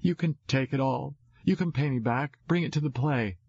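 A man's voice: medium pitch, normal speed, darkish tone, breathy edge, voice pushed up. A man voicing a stubborn, eager young girl in an audiobook, with plain, childlike casual phrasing. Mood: earnest. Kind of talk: audiobook character dialogue. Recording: dull, roomy, echoey recording.

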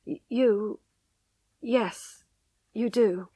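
A woman voicing a shocked, bitter husband in a dramatic story, stern and halting. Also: fairly high pitch, warm tone, breathy edge, no echo, full character voice. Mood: cold, stern. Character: stunned husband, bitter, cold, unforgiving, bewildered.

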